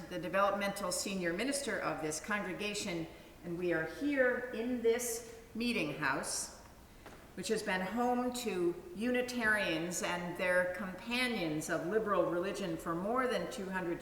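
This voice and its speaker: A woman's voice: mid-range voice, fairly bright tone. A woman minister welcoming the congregation to a worship service, in a conversational way with an occasional uh. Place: large meeting house. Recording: echoey hall, from a distance, faint noise.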